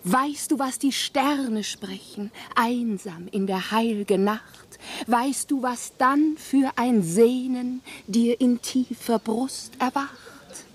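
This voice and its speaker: An actress playing a tender, lovestruck, dreamy woman, reciting lyrically in a breathy voice in a radio drama. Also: a little high, slow, hardly any pauses.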